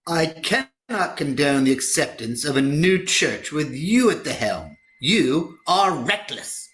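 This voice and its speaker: A man reads a righteous lord chancellor in a historical drama. The voice is high and bright, and the delivery is formal, unbending and admonishing. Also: big pitch swings, takes it slowly, heavily acted. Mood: righteous, defiant. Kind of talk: online play reading.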